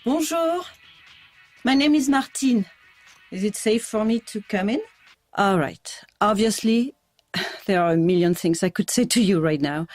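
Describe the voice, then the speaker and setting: A woman's voice: medium pitch, expressive, unhurried, pauses often, no room sound, faint music behind. A woman playing a blunt counselor. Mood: frank. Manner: frank, matter-of-fact.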